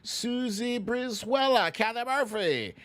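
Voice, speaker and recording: A man's voice: extremely high pitch, lively intonation, slow pace, fairly bright tone. A man hosting a casual livestream guessing game, chatting playfully and informally straight to his viewers. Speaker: livestream host running a song-mashup guessing game.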